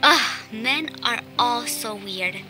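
A woman's voice: on the high side, expressive, slow. A woman playing a brash, gossipy young woman with a sassy, colloquial manner in a radio play. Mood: exasperated, teasing. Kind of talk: radio play character dialogue. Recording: music playing behind. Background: clear background music.

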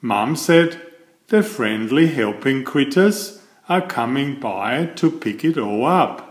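Older man reading aloud, lively and unhurried. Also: mid-range voice, roomy sound. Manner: slow, deliberate, expressive phrasing. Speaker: children's book reader and host. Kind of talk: expressive read-aloud storytelling.

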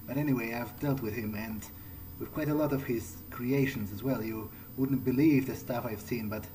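A man's voice: medium pitch, moderate pace, warm tone, a little hiss or hum, lightly acted, poor audio. A man voicing a thoughtful, cautious vampire who thinks aloud in a hesitant, understated way during a game playthrough. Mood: matter-of-fact. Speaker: roleplaying video game player. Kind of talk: in-character improvised game playthrough. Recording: game music behind the voice.

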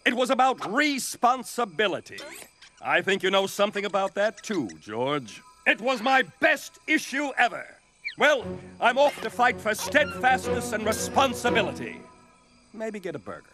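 Comic-book hero: declamatory, self-important, high animated voice, cartoon with music behind. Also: male voice, normal speed, exaggerated voice. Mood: sly, self-promoting. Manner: grandiose, declamatory. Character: pompous antlered comic-book superhero man.

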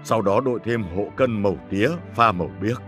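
A man's voice: on the low side, a little fast, fairly bright tone, faint music behind, lightly acted. A man voicing a humble, careful minister in a historical cartoon, addressing a ruler with formal deference. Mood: deferential. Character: humble, careful court minister advising his emperor.

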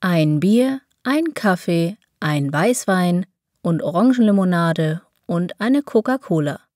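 A woman reading German lesson dialogues, taking every part herself with pauses between phrases. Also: lowish voice, animated, a little mellow, dry recording, studio quality. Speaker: German reader for a language lesson. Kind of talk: slow, clearly spaced phrase reading.

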